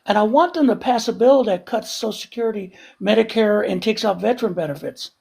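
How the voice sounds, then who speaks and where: Husky-voiced man ranting heatedly. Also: high voice, brisk, a little mellow. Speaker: regular guest. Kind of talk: casual live-stream chat.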